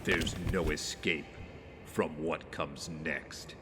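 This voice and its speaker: A man playing a menacing masked vigilante in an audio drama, speaking in deep, ominous, deliberate threats. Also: fairly even intonation, very noisy. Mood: cold menace. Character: menacing masked male vigilante stalking criminals. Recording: long cavernous reverb under loud music.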